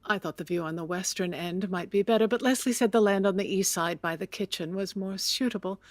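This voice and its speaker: An actress playing a proud, aggrieved older widow in an audio drama, speaking in a formal, imperious way. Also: female voice, medium pitch, a little fast, no echo, close to an everyday voice. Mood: wistful. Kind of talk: audio drama acting, period dialogue.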